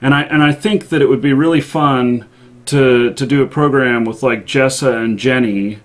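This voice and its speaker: A man hosting a live show, chatting casually about games in a halting way with pauses mid-thought. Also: mid-range voice, steady tone.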